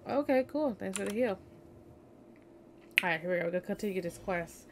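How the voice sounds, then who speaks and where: Streamer: young woman, tired, casual let's play talk at middling pitch, faint noise behind her. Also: expressive, fairly quick. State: tired, yawning. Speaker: game streamer. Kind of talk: casual let's play commentary, reading game text aloud.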